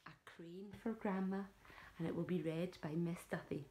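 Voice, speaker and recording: A woman's voice: on the low side, steady tone, moderate pace, mellow. A woman reading a children's story aloud like a primary teacher, with dramatic emphasis, speaking directly to young listeners.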